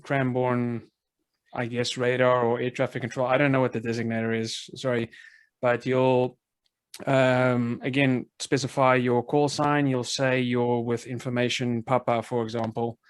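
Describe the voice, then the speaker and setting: Tentative male student, fairly even delivery. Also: mid-range voice, a little slow, smooth, no room sound. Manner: tentative, hesitant. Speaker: student pilot. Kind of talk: thinking aloud, answering instructor's questions. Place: online video call.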